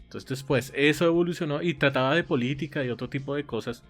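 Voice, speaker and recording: A man on a podcast, chatting casually about comics and often pausing with fillers. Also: mid-range voice, soft background music. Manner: conversational, hesitant with many fillers. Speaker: podcast co-host.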